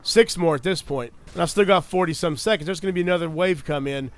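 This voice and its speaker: A man's voice: fairly high pitch, fast. A young man, getting over a cold, gives a chatty, earnest voice-over about his gameplay as a gaming creator. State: recovering from a cold. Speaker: gaming YouTuber. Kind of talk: casual gameplay commentary and loadout guide.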